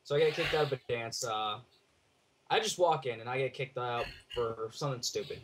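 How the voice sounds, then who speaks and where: A man telling a casual story over an online voice call, blunt and sweary, in halting phrases. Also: medium pitch. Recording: noisy online call with clicks.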